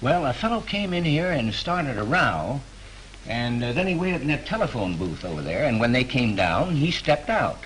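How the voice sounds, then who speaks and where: A man's voice: medium pitch, sing-song, moderate pace, fairly bright tone, poor audio. An actor playing a cautious male hotel desk clerk, in 1930s film acting. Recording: hissy 1930s film soundtrack.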